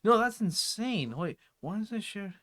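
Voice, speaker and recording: A man's voice: high voice, big pitch swings, moderate pace, a bit bright. A man giving casual reaction commentary in a slangy, exclamatory, incredulous way. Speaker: reaction video host.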